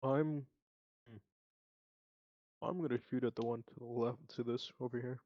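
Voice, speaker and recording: A man takes his turn in a tabletop game. His voice is soft-edged and dark, at a middle pitch, and he reasons aloud in a casual, tentative way. The recording is dry and a bit dull. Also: fairly even intonation, moderate pace, no room sound. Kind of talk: casual chat. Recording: dry, slightly muffled voice mic.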